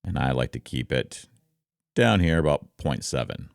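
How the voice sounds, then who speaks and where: A man with a very deep, rumbling voice, explaining things casually and conversationally to viewers, close on a studio microphone. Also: expressive, moderate pace, vocal fry, no room sound. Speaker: content creator, streamer. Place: home office.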